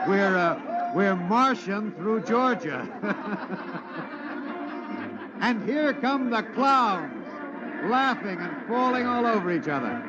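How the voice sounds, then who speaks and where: American radio reporter, high-pitched, noisy recording. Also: male voice, highs cut off. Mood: excited. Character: excitable male radio reporter covering a parade live. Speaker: on-the-spot radio announcer. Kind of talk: old-time radio drama, live broadcast commentary.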